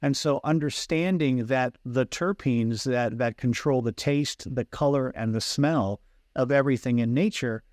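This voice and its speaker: A man speaks in an earnest, teacherly way, often restarting his phrases. His voice sits in a middle pitch. The sound is close and dry, with no room around it. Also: unhurried, a little mellow, no room sound. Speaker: guest, fragrance hypnosis coach. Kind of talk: explanatory interview talk. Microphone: video call.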